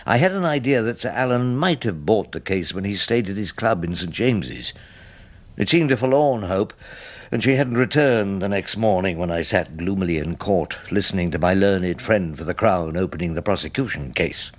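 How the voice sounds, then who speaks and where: An actor playing a wry, shrewd older English defence barrister, husky and dry, narrating his case in a radio drama. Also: low-pitched, animated. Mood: gloomy, reflective. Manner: dry, sardonic, measured.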